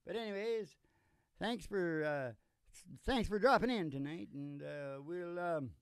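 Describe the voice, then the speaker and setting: A man's voice: high-pitched, unhurried, smooth, dry recording. An older man hosting a country comedy podcast on a stage mic with folksy, playful, rambling banter. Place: small curtained stage studio, indoors. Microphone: stage microphone on a stand. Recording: live acoustic guitar under the voice. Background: acoustic guitar.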